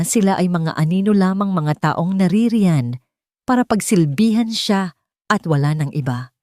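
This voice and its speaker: Female audiobook narrator, lowish pitch, dramatic scripted storytelling, dry studio-like sound. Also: a little mellow, no room sound.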